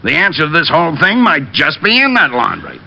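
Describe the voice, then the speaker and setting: A man playing a gruff, irritable police lieutenant in an old radio detective drama. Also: a little high, huge pitch swings, fairly quick, sharp tone, full character voice, poor audio. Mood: exasperated. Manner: blustering, irritable. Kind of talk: 1940s-50s radio detective drama acting. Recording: old radio show recording.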